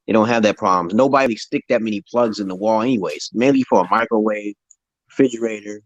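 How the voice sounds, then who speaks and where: A man with a deep voice in casual, opinionated chat, talking in a loose, slangy way and laughing often. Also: fast, highs cut off. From United States. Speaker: conversation partner in an English chat.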